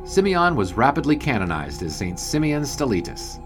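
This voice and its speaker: An actor playing a wry 1920s sportswriter, narrating an audio drama in a wordy, grandiose storytelling manner. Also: male voice, mid-range voice, very animated, normal speed, music in the background, natural voice. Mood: measured, storytelling.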